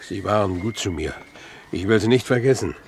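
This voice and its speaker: A man's voice: on the low side, animated, fairly quick, slight background noise. An actor playing a weary, jobless man on the run, terse and resigned, in a 1950s German radio drama. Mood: weary, urgent. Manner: terse, resigned, plain-spoken. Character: weary, jobless man fleeing with his young niece.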